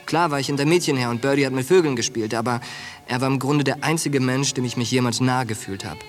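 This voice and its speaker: An actor playing a tough, streetwise young soldier, blunt and slangy, in a German radio drama. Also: male voice, medium pitch, fast, close to an everyday voice. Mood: frank, casual. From United States, Philadelphia. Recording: studio drama with music bed.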